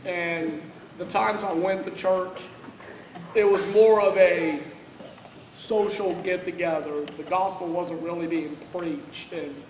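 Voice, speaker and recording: Loud unmiked man in an echoey hall. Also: sits high, fairly even intonation, slow pace, slight background noise. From Kansas City, Missouri. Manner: loud, unmiked, rambling with many "uh"s. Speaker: church member giving a tribute. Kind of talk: informal heartfelt tribute speech. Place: large church fellowship hall. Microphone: no microphone, picked up from across the room.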